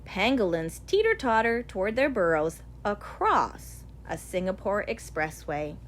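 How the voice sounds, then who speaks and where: A woman narrates a children's nature picture book with deliberate, expressive phrasing. Her medium-pitched voice moves up and down in a lively way, and there is some faint but steady noise behind the recording. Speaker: audiobook reader.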